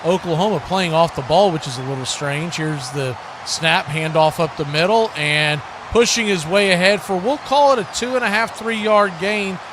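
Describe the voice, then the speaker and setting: An American man doing live football play-by-play commentary, conversational between snaps and animated on big plays. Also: high voice, moderate pace, background noise. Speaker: play-by-play sportscaster.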